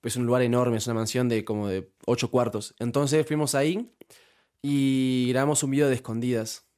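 A man's voice: medium pitch, fairly even intonation, moderate pace, a little mellow, clean tone. A young man from Uruguay with a Uruguayan accent, a guest in a casual podcast interview who talks with many restarts and fillers. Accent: Uruguayan Spanish. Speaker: youtuber guest.

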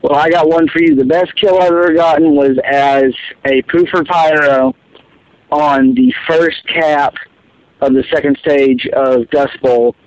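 A man's voice: a little high, fairly even intonation, measured pace, dry recording. Eager male caller, dull lo-fi sound. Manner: eager, storytelling. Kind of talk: voicemail story. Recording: dull lo-fi recording.

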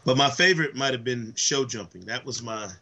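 A man co-hosting a sports podcast, a little hoarse from travel, telling stories enthusiastically in casual chat with lots of fillers. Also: mid-range voice, brisk. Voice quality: slightly hoarse. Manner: animated, enthusiastic storyteller with many fillers. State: voice worn from travel. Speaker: podcast co-host.

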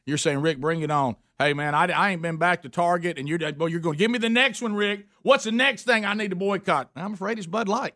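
Male radio host in his fifties, a bit higher voice, dry studio sound, folksy banter. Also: sharp tone, no room sound. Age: 50s. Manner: folksy, joking, halting with fillers.

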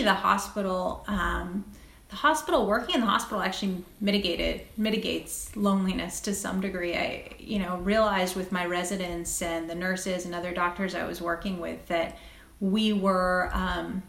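Woman doctor being interviewed, echoey room, medium pitch, thinks out loud, kinda halting and repeats herself. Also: gravelly. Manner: reflective, halting, repeats phrases as she thinks. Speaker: guest, critical care physician. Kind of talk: thoughtful interview conversation. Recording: echoey, roomy sound.

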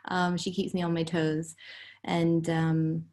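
A woman in her thirties from Hong Kong, a novelist speaking hesitantly as an interview guest, with many ums and soft whispered bits. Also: lowish voice, level tone, slow. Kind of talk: casual interview.

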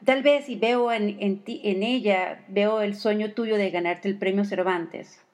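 A woman's voice: mid-range voice, measured pace. Rambling woman radio host. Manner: warm, rambling questions with hesitations. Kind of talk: radio interview.

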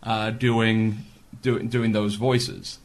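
A man co-hosting a talk show and working as a voice actor, explaining history in a steady, storytelling way. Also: fairly low pitch, very slow. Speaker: co-host, voice actor.

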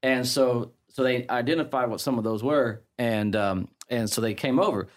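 English Midlands priest, middle-aged, loose chatty explainer, restarts a lot. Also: male voice, mid-range voice, normal speed. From England. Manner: chatty, many fillers and restarts, laughs easily. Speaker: priest guest. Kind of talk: conversational explanation.

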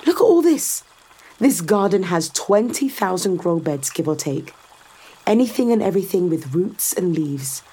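A woman's voice: on the low side, big pitch swings, moderate pace, dark tone, slightly rough. An actress playing a confident, sardonic woman in a science fiction audio drama, explaining things to a skeptical visitor with assured, dryly teasing delivery. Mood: proud, informative.

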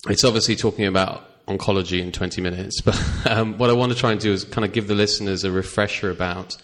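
A man with a deep, low-pitched voice hosts an interview podcast. He talks in a relaxed, chatty way, full of asides and hedging phrases. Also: fast talker. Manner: casual, chatty, many fillers and asides. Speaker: podcast host, veterinarian.

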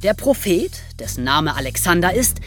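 A woman's voice: lowish voice, very animated, moderate pace. Woman narrating over faint music. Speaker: podcast host and narrator. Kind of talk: scripted storytelling.

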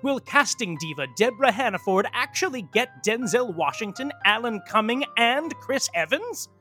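A man's voice: extremely high pitch, sing-song, normal speed, bright, forceful tone, faint music behind. A man narrating a comic audio soap and its credits in a theatrical, mock-grandiose announcer style.

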